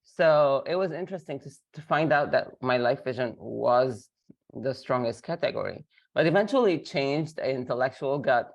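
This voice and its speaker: A woman's voice: extremely low pitch, gravelly. A woman from Lebanon, a guest on a video call interview, talking casually and hesitantly with many fillers while sick. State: sick, voice affected.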